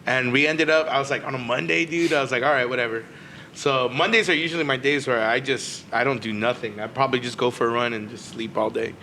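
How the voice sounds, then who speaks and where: A man's voice: mid-range voice, fast talker, pushed and bright, slight background noise. A man in his twenties telling a story in a laid-back, slangy way. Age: 20s. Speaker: podcast co-host. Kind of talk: casual storytelling.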